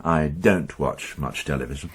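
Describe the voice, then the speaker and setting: An actor in a radio drama playing a senior police inspector, measured and authoritative with dry irony. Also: male voice, a bass voice, a bit bright, breathy edge, exaggerated voice. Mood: probing, restrained. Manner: measured, dryly ironic, authoritative.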